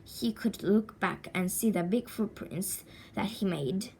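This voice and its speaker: A woman reading a children's story aloud in an expressive, deliberate way with a sing-song lilt. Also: mid-range voice, a little hiss or hum. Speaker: storybook reader.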